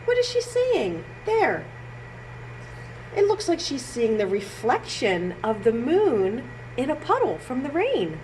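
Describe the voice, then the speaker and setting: Animated, high-voiced woman reading to children. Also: a little hiss or hum, low-quality recording. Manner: sing-song, exaggerated emphasis, pauses to comment on pictures. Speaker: children's librarian storytime reader. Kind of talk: expressive read-aloud for young children.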